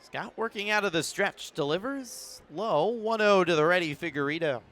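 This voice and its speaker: Higher-pitched man calling baseball play-by-play. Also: lively intonation, normal speed, a bit bright. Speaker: baseball radio play-by-play announcer. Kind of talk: live sports commentary. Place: broadcast position at an outdoor ballpark in the evening.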